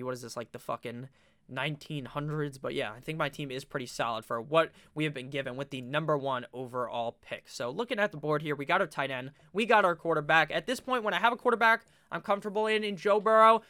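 Young male video host, high bright voice with a clear smooth tone and a slight nasal edge, loose and profane. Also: quick pace. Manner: swears freely, chatty and animated. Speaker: fantasy football YouTuber. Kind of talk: casual webcam talk while drafting. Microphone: webcam setup.

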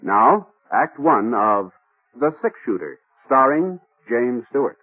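A man's voice: medium pitch, big pitch swings, slow pace. An American man announcing formally in the style of 1950s network radio. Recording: tinny old radio broadcast, faint music.

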